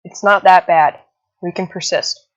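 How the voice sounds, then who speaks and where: A woman playing a steady, hopeful survivor urging others to persist, in amateur audio drama dialogue on a lo-fi microphone. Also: on the low side, measured pace, lightly acted.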